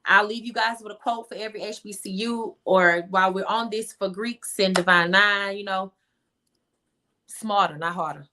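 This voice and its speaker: A woman's voice: medium pitch, normal speed, background noise. A woman speaking casually as an interview guest, emphatic and repeating phrases to make her point. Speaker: interview guest, singer-songwriter.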